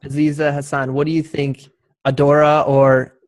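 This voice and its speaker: Higher-pitched man moderating a panel. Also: flat delivery, moderate pace.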